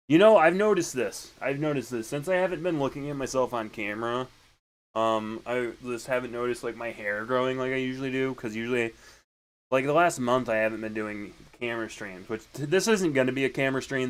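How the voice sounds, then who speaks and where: A young man with a deeper voice streaming from his computer, rambling and correcting himself as he fiddles with settings and chats to viewers. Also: poor audio. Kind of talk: casual stream chat while setting up.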